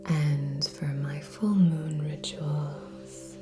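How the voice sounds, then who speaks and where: A woman speaking soft, breathy ASMR in a near-whisper, gently hosting with long pauses between short phrases. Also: low-pitched, steady tone. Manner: slow, gentle, hushed delivery with long pauses. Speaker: ASMR channel host. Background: relaxing music with singing bowl tones.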